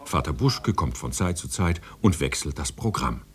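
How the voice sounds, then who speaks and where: A man's voice: a bass voice, animated, moderate pace. A man playing a chatty, matter-of-fact reporter who narrates a comic radio play as breezy mock reportage.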